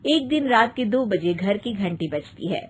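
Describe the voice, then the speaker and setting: A woman's voice: medium pitch, expressive, a little slow, husky. A woman storyteller giving scripted narration for a comic moral tale.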